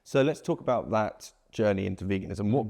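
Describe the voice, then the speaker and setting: Man, podcast host, low pitch, warm dark tone, enthusiastic interviewer. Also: expressive, creaky. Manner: upbeat, enthusiastic, rapid-fire questions. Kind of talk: casual interview.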